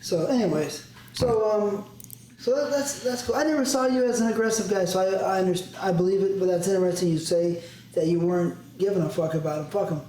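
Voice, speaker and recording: A man's voice: high voice, a little fast, dark tone. A man interviewing a guest in a loose, profane way, telling stories with laughs. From Southern California. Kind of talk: casual conversational interview. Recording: very echoey room, faint noise.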